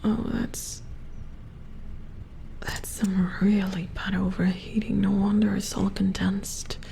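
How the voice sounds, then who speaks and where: A woman playing a gentle, squeamish robot repair engineer in a hushed, hesitant ASMR roleplay, murmuring to herself. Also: mid-range voice, little pitch movement, slow, vocal fry, slight background noise, poor audio. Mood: puzzled, squeamish. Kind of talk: soft-spoken whispered ASMR roleplay.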